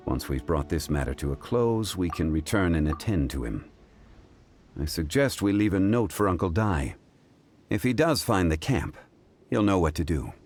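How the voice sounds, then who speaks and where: A man voicing a calm, courteous and learned gentleman in an anime-style video game, speaking in a measured, formal way. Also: sits low. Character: composed, scholarly, ancient-souled gentleman consultant, calm and courteous.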